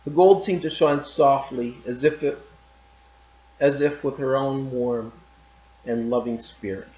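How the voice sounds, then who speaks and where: A man teacher reading a short story aloud in a calm, measured way. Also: medium pitch. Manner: calm and measured, loose and chatty after reading. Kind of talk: read-aloud story narration. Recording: roomy, slightly dull recording.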